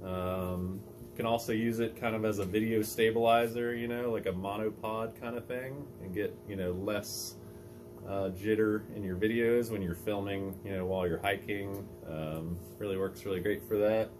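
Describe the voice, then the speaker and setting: A man in his thirties hosting a casual outdoor how-to vlog, talking in a relaxed, chatty way with many fillers, filmed on a phone held at arm's length on a mountain lookout tower. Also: fairly low pitch, moderate pace. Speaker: vlogger host. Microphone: phone on a trekking pole at arm's length.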